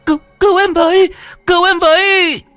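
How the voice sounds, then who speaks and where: A man's voice: extremely high pitch, flat delivery, normal speed, a bit bright, no room sound, soft background music, voice pushed up. A man voicing a terrified young woman who screams and stammers in panic in a horror story. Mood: screaming.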